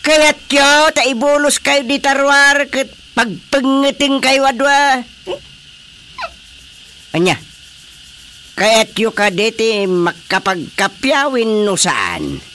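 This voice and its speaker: An actor with an Ilocano accent playing an exasperated, excitable village chairman in a radio comedy drama. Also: extremely high pitch, takes it slowly, long pauses, slight background noise, exaggerated voice. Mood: exasperated scolding.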